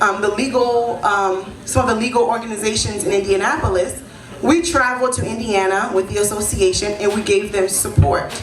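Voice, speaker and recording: A woman in her thirties, born in Haiti and raised in Florida, hosting a community heritage event and speaking to the crowd in a conversational way, often asking "right?". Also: mid-range voice. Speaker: event host and senior advisor. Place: event hall. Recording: echoey hall.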